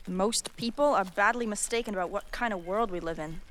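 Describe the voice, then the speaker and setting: A woman's voice: medium pitch, fairly quick, fairly bright tone, slight background noise, close to an everyday voice. An actress playing a scornful, hard-edged young female fighter in a fantasy audio drama, sardonic and dismissive.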